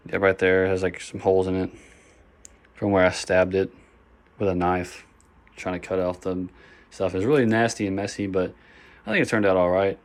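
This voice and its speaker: A young man, hoarse, talking casually and swearing as he shows his gaming setup in a phone-recorded vlog. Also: deep voice, fairly even intonation, normal speed, long pauses. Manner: casual, swears often, lots of fillers. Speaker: gaming vlogger. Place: bedroom at home. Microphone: phone camera.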